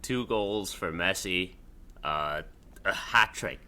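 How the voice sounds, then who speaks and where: A man's voice: low-pitched, takes it slowly, pushed and bright. A man with a mixed international accent co-hosting a casual football podcast, blunt, wry and sweary. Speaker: podcast co-host.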